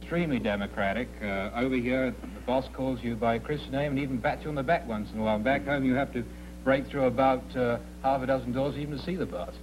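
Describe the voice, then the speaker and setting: A man's voice: medium pitch, fairly even intonation, fast talker, noisy background. A man from England with an English accent, answering a quiz host's questions politely and modestly. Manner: polite and understated. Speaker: contestant. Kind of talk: game show interview.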